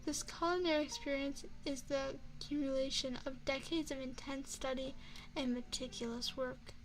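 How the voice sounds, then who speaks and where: A woman plays an elegant vampire restaurateur in an intimate ASMR roleplay. Her voice is high, with formal, measured phrasing and courteous, seductive hospitality. Faint music sits behind her. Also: fairly even intonation, natural voice, rough recording. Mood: proud, intimate. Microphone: binaural microphone.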